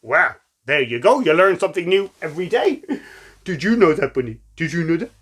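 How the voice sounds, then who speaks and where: A man reading stories to children in an expressive, encouraging, playful way. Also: fairly high pitch, a little fast, husky. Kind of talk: animated read-aloud storytelling for children.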